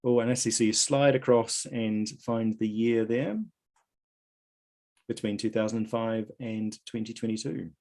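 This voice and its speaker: A man hosting a live online quiz, reading out questions and answer options in a measured way. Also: lowish voice, steady tone, a little mellow. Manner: reads options aloud in a measured list. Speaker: quiz host at an online conference.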